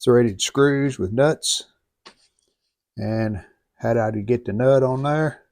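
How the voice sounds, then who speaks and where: A man's voice: mid-range voice, slow, darkish tone, dry recording, studio quality. An American man narrating hands-on repair work in a folksy, plainspoken way, thinking aloud as he goes. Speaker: host of a radio restoration channel.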